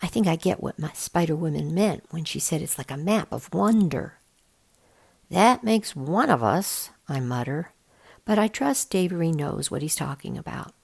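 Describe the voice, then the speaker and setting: A woman reads a children's storybook aloud. Her voice sits on the low side with a noticeable creak, and she narrates expressively as a volunteer reader would. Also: lively intonation, normal speed, a little mellow.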